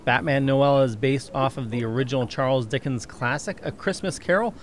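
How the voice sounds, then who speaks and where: A man's voice: medium pitch, a little fast, slight background noise. A man in a casual group call, talking with hesitant, stammering restarts before reading an introduction. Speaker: participant reading the comic intro. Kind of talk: casual chat and read-out intro.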